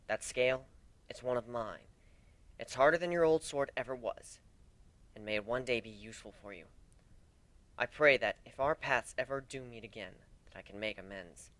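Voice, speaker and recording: A man's voice: medium pitch, fairly bright tone, dry recording, lightly acted. Man voicing a solemn, remorseful female foe.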